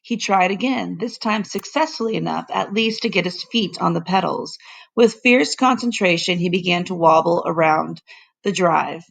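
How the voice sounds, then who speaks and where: Woman reading a storybook, creaky voice. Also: on the low side, moderate pace, poor audio. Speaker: teacher reading aloud. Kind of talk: expressive storybook narration for children.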